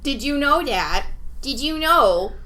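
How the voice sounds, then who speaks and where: Animated woman podcaster with a highish voice. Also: takes it slowly. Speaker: podcast co-host. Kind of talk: casual playful chat.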